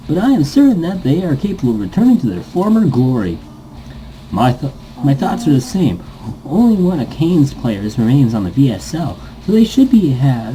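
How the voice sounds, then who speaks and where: A man's voice: mid-range voice, dark tone, a little hiss or hum, pitched above the performer's own voice, close to an everyday voice, rough recording. A man voicing a formal, earnest esports match commentator in a video game, with stiff announcer phrasing.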